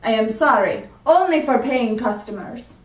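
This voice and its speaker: A woman's voice: fairly high pitch, animated, normal speed, close to an everyday voice. A woman reading aloud and voicing a fussy, stingy man who is a dentist, speaking curtly and haughtily. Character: fussy, stingy middle-aged male dentist. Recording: roomy sound from a distance.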